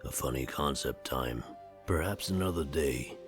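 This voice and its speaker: A man's voice: a bass voice, a little slow, faint music behind. A man playing an ancient, many-voiced spirit in a possessed body for a visual novel game, speaking formally and cryptically of itself as we. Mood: calm, commanding.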